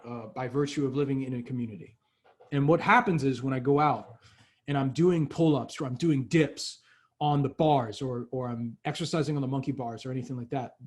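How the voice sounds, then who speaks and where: A man's voice: a little high, darkish tone. A man speaking earnestly in a casual motivational talk, with frequent fillers and restarts. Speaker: workshop speaker.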